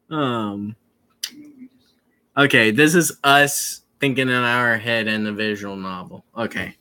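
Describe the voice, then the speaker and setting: Male streamer, casual visual novel commentary, very slow delivery, sharp bright tone, stumbles and repeats himself. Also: medium pitch. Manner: rambling, stumbling over words and repeating phrases.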